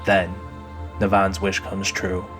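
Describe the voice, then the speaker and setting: A man narrates a scripted audio drama in a fairly low voice. Music plays clearly behind his storytelling. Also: monotone, takes it slowly.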